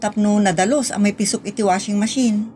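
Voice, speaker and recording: A woman's voice: mid-range voice, steady tone, brisk, dark tone, slight background noise, natural voice. A woman acting the part of a forgetful, busy housewife in a homemade comedy skit, exasperated and muttering to herself.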